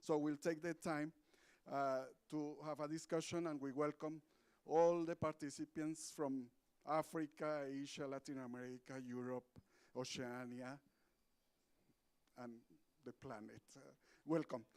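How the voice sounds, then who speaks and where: A man's voice: fairly high pitch, level tone, a little slow, darkish tone, clean tone. A man moderating a conference side event, friendly and loose, with fillers and restarts. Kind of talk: meeting moderation. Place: conference room.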